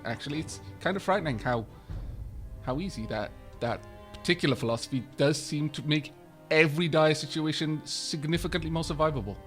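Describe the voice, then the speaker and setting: A fairly high-voiced man chatting on a live gameplay stream, rambling along with dry, wry humor and thanking viewers by name. Soft game music plays quietly in the background.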